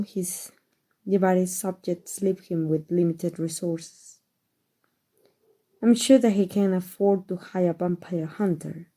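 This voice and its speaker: Woman acting a dark-voiced, refined vampire noblewoman. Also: fairly low pitch. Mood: worried, reassuring. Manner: formal, refined, measured, doting speech. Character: powerful, old, aristocratic female vampire, protective and tender. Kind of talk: ASMR roleplay acting.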